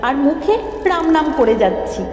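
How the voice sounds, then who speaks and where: A woman's voice: high voice, expressive, moderate pace. A woman reading a scripted first-person ghost story as its narrator. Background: background music.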